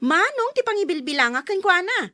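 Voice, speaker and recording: A woman's voice: very high-pitched, big pitch swings, brisk, sharp tone, dry recording, clean studio sound, heavily acted. A woman acting a furious young woman who scolds a gossip in a heated radio drama. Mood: defensive.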